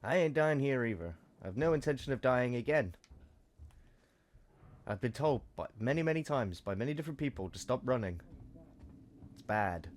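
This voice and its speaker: A man with a croaky voice from a cold, chatting through gameplay commentary with joking asides. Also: mid-range voice, lively intonation, normal speed, pauses often. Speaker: let's play host.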